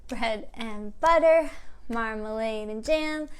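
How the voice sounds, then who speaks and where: A woman hosts a storytime for young children. Her voice sits a little high and her overall pace is very unhurried. She talks in simple, encouraging phrases, with a sing-song lilt suited to picture books and songs. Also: clean tone. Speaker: children's storytime host at a library.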